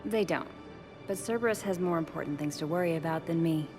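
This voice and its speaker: A woman's voice: sits low, brisk, natural voice. An actress playing a caring, earnest young woman psychologist in a video game, shaken and emotional in manner. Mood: resolute, regretful. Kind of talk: video game cutscene dialogue. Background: music.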